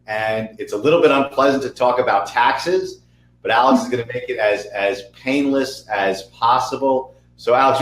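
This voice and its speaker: A man hosting a webinar interview, chatty, energetic and jokey. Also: mid-range voice, reverberant, low-quality recording. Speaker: webinar host. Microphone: video call.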